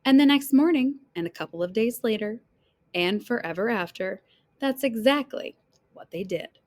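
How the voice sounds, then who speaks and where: A woman reading a children's picture book aloud in an expressive, dramatic storyteller's way, phrasing deliberately for young listeners. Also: on the high side. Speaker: read-aloud storyteller, teacher.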